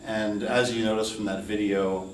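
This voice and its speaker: A middle-aged American man from New York and Hawaii, speaking as a college instructor in an informal, measured and earnest welcome lecture. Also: fairly low pitch, little pitch movement. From United States, New York City and Hawaii. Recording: roomy echo, distant mic, faint noise.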